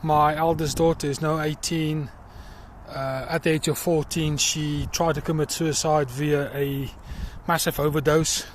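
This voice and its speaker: A middle-aged man with a South African accent, talking earnestly about himself in a personal vlog while out walking in a field, with many pauses and fillers. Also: fairly high pitch, flat delivery, a little hiss or hum. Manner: earnest and reflective, pausing with fillers. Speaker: crowdfunding campaigner. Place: outdoors in a field.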